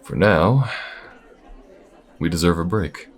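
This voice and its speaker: Man acting a very deep-voiced, folksy alien bounty hunter. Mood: warm, steady. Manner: folksy drawl-like phrasing, teasing, unhurried. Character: easygoing male alien bounty hunter, wry, folksy frontier companion. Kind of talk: audio roleplay addressed to the listener. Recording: restaurant chatter behind. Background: restaurant crowd ambience.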